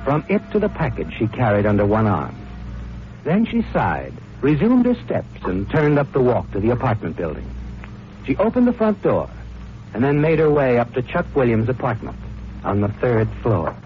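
An actor playing a mysterious night-walking narrator who knows dark secrets, theatrical and deliberate in a 1940s radio mystery style. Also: male voice, medium pitch, huge pitch swings, a little hiss or hum. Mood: grave, suspenseful. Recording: muffled vintage radio recording, loud music behind.

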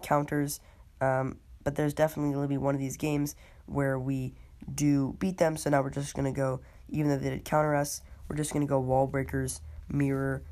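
Brief: woman podcast host under the weather, low voice, laid-back and filler-heavy play-by-play. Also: darkish tone. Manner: laid-back, many ums and uhs, talks through live play. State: sick, voice a bit off. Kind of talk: casual gaming podcast commentary.

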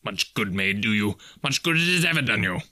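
A stern old miser from a storybook, acted by a male narrator, bitter and indignant. He growls his scorn with big swings of pitch, in a dry, close recording. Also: expressive, fairly quick, pushed and bright, no room sound.

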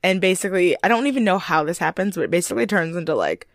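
A woman co-hosting a casual podcast, chatting and joking through a reality-show recap. Her voice sits fairly low but swings widely in pitch. The sound is dry and close. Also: lively intonation, racing, dry recording. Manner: chatty, lots of "like" and laughs. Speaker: podcast co-host.

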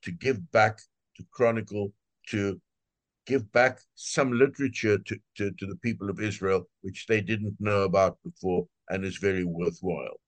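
An older, retired man speaking earnestly as an interview guest, in a measured, halting way. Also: on the low side, steady tone, dry recording. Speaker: translator, guest. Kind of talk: casual interview talk.

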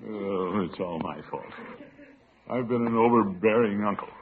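A man's voice: on the low side, measured pace, close to an everyday voice. A man playing a blustery, fretful, pompous middle-aged uncle in an old radio comedy, with theatrical exclamations. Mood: worried, fretful. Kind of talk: 1940s-50s radio comedy acting. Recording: tinny, noisy old radio broadcast.

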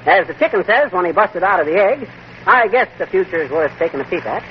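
A brash, wisecracking husband. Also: male voice, high-pitched, very animated, fast talker, highs cut off. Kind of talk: 1940s radio comedy acting. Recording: hissy old radio broadcast recording.

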